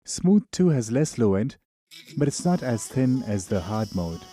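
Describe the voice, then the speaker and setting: Casting: male tutorial instructor, very soft dark tone, lively intonation, informal and explanatory. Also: mid-range voice, unhurried, faint music behind. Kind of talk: tutorial narration.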